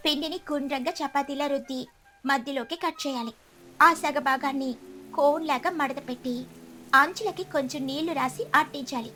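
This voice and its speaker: A woman's voice: high-pitched, pushed and bright. A woman voicing a practical, kindly mother sparrow who cooks and instructs in a cartoon story. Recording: music behind the voice.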